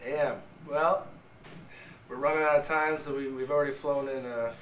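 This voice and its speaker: A man's voice: on the high side, fairly even intonation, moderate pace, fairly bright tone. Young man, scratchy, cocky joker, echoey distant room recording. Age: young adult. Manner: wisecracking, boastful. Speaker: college football player. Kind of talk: joking interview to camera. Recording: echoey room, off-mic, ambient noise.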